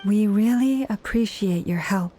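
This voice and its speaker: A woman playing a gentle, kindly young woman in a video game, speaking politely and with composure. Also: mid-range voice, a little slow, soft background music, natural voice. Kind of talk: game voice acting.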